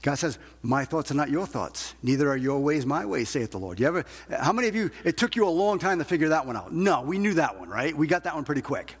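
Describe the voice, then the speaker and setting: A man's voice: on the high side, very animated, fast, few pauses, slightly rough. A man preaching a sermon to a congregation as a preacher, emphatic, repeating phrases and asking rhetorical questions. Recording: long hall reverb.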